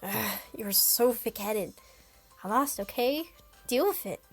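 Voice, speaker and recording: A woman's voice: fairly high pitch, slow pace, slightly rough, soft background music. A woman voicing a brash, teasing girl who hates losing.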